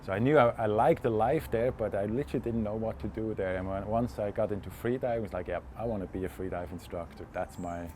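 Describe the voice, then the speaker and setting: Man, forties, dark soft-edged low voice, casual interview answers, instructor. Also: quick pace, hardly any pauses, a little hiss or hum. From Switzerland. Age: 40s. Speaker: interview guest, freediving instructor.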